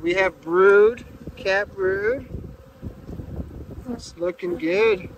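A man, talking his way through a hive inspection in a relaxed, halting way. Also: high voice, lo-fi sound. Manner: relaxed, halting, many restarts and fillers. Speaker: beekeeper. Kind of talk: casual hive inspection vlog. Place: outdoor bee yard, open field. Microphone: camera mic on the beekeeper. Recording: outdoor recording with loud bee buzzing. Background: buzzing bees and wind.

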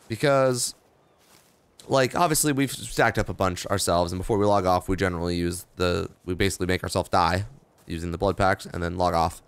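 Young man, hoarse and tired, gravelly, lowish voice, casual rambling gameplay commentary. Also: a little fast, no echo. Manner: rambling, offhand, reading patch notes aloud with asides. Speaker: gaming video host.

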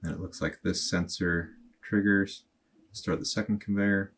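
Low-voiced guy in his 30s, kinda husky, talking through a screen tutorial at his desk, lots of ums. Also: little pitch movement, a bit bright. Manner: thinking aloud, plain and unhurried, with fillers. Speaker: automation tutorial host. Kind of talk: casual screen-recorded tutorial. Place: small indoor room at a desk.

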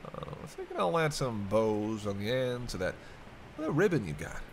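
A man's voice: medium pitch, huge pitch swings, normal speed. A man playing a sarcastic, teasing captive vampire in casual, sweary banter for an intimate roleplay audio drama. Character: teasing, sardonic male vampire held captive by a hunter. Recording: clear background ambience noise.

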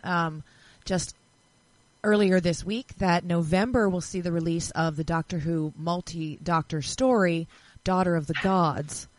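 A woman's voice: fairly low pitch, poor audio. A woman presenting a casual news segment on a podcast panel, chatting among friends.

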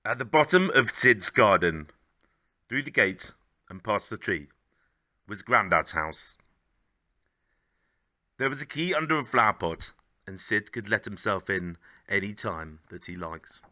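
A man in his thirties narrating a children's story expressively, with measured, gently dramatic phrasing. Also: fairly low pitch, sing-song, highs cut off. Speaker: storybook reader.

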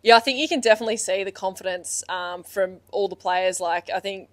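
A young woman team captain answering press conference questions in a casual, quick way, with lots of "um" and "you know", into a handheld mic indoors. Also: medium pitch, dry recording. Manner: quick, casual, filler-heavy. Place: indoor press room, sponsor backdrop. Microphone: handheld microphone.

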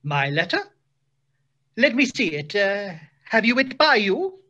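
A woman's voice: medium pitch, big pitch swings, a little slow, a bit bright, a little hiss or hum. A woman playing a lovestruck, foolish male stage hero with overblown theatrical delivery in an old-style radio play. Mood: melodramatic. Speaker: actor. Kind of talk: 1930s radio acting.

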